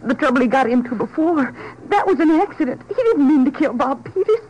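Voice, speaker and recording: A woman's voice: high voice, expressive, brisk, darkish tone, muffled recording, a little hiss or hum. A woman playing a distressed, pleading working-class wife with folksy, tearful speech in a 1950s radio drama. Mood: tearful, worried. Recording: old 1950s radio recording.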